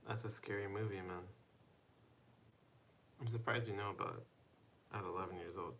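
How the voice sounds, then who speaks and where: A man's voice: low-pitched, fairly even intonation, normal speed, vocal fry, a bit bright, a little hiss or hum. A young man casually chatting on a live stream, playfully teasing and asking viewers questions.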